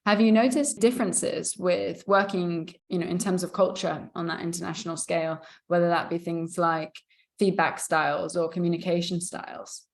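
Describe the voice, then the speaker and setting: British woman hosting, slightly low voice. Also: steady tone, moderate pace. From England. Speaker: podcast host. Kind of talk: conversational podcast interview.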